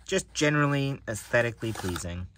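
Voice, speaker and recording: A man's voice: mid-range voice, expressive, fairly quick, fairly bright tone, a little hiss or hum. A man casually narrating an unboxing of playing cards, musing to himself as he handles them. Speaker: card collector reviewing decks. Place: indoors at a table.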